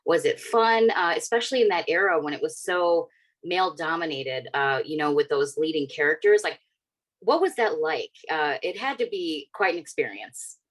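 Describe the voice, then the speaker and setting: A woman's voice: sits low, lively intonation, moderate pace, low-quality recording. A woman in her forties, a podcast co-host asking long, rambling questions with many fillers. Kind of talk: casual interview questions.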